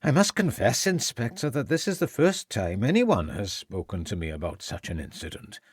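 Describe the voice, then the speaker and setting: A man voicing a loud, guarded male headmaster with a Welsh accent. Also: lowish voice, very animated, normal speed, breathy edge, no echo, clean studio sound.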